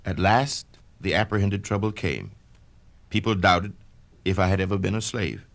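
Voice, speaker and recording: A man giving a measured, declamatory first-person reading of an autobiography for a vintage spoken-word record. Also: low-pitched, vocal fry, a bit bright. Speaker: narrator reading a memoir.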